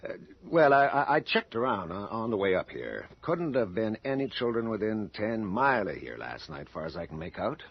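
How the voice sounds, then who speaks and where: An actor playing a hesitant, folksy small-town police sergeant with a drawl in a radio mystery. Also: male voice, lowish voice, sing-song, normal speed, hardly any pauses, slight background noise. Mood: puzzled, placating. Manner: hesitant, drawling, rustic speech. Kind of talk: old-time radio mystery acting. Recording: muffled old radio broadcast.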